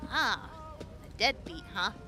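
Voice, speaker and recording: A woman's voice: mid-range voice, lively intonation, slow, music in the background. A woman playing an upbeat young army medic in an audio drama, chatty and giggly, stammering when flustered. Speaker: field medic.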